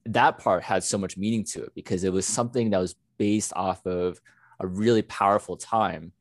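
A man's voice: low voice, fairly even intonation, normal speed, dry recording. A man from the United States co-hosting a casual podcast, talking in an earnest, reflective way with many "you know" fillers. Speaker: podcast co-host.

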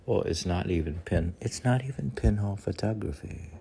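A man's voice: lowish voice, lively intonation, normal speed, gravelly, mellow, a little hiss or hum. A man with a New York accent reading his own poems aloud, rambling between them and answering himself with many fillers. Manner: meandering, talks back to himself with many fillers. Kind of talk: rambling spoken commentary and poetry reading.

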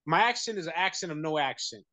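A man's voice: on the high side, animated, quick pace, sharp tone, no room sound. Rambling man with a dry sound. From United States. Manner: rambling, many fillers and restarts. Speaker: interviewee. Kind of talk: casual conversation.

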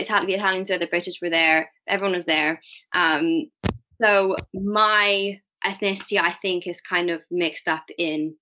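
A young woman from Malta talks through her work in a casual interview. Her voice sits slightly low but has a bright, forceful edge, and her speech is hesitant, with frequent fillers and self-corrections. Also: low-quality recording. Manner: hesitant, many fillers and restarts. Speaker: guest, diversity and inclusion manager.

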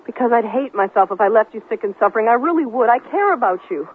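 A woman's voice: a little high, animated, quick pace, noisy background, close to an everyday voice. A woman acting an anxious, caring but doubting girlfriend who pleads emphatically in a 1970s radio drama. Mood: apologetic pleading. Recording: muffled, tinny old radio broadcast.

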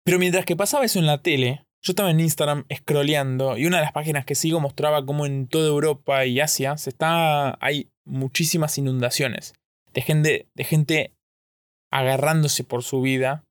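A man's voice: a little high, fairly even intonation, normal speed, no echo, clean studio sound. A man from Argentina with an Argentine accent, recording a casual solo podcast, thinking aloud in a rambling way and often correcting himself mid-sentence. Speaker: podcast host.

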